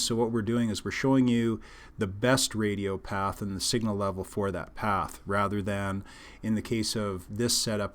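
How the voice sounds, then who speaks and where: A man explaining a technical system as a trainer, in an unscripted, halting instructional style. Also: on the low side.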